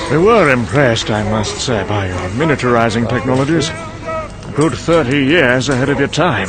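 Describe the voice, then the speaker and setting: A man's voice: mid-range voice, natural voice. A man playing a cynical scientist, delivering a dry, weary, sardonic dramatic monologue for a motion comic. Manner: dry, sardonic, weary musing with trailing asides. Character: cynical, sardonic British male scientist briefing an American colleague.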